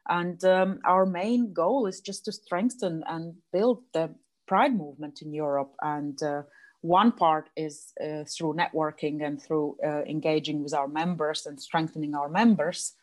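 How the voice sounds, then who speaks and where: A woman's voice: low voice, a little slow. A woman in her thirties with a Latvian accent, a guest answering interview questions in a hesitant way with many fillers and restarts. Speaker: guest, association president. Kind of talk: casual interview.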